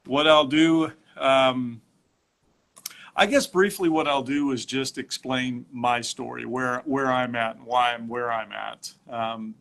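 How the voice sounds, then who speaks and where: Halting middle-aged man on a phone livestream. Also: mid-range voice, steady tone, gravelly, fairly bright tone. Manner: halting, many fillers and restarts. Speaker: host telling his health story. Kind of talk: casual livestream talk. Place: home.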